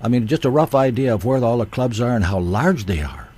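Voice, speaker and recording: A man's voice: mid-range voice, expressive, brisk, darkish tone, husky. A male radio host chatting casually, laughing often and passing along messages from listeners. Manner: friendly, laughs often, relays fan messages. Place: radio studio.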